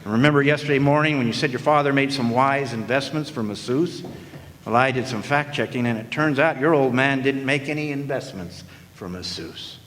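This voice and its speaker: A man's voice: medium pitch, brisk, a bit bright, natural voice. A man playing a wisecracking hard-boiled private detective in a radio play, with a theatrical, sardonic delivery. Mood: accusing, cocky. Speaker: narrator and lead actor in radio play. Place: live radio play in a hall. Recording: live stage, long hall reverb.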